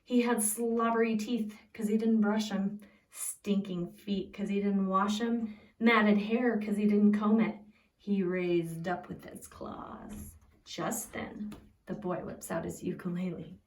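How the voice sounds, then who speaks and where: A woman reads a picture book aloud as a preschool teacher, simply and expressively, pausing to put questions to young listeners. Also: medium pitch, fairly even intonation, a little mellow. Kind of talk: read-aloud storytelling with questions to young children. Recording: echoey room, distant from the mic.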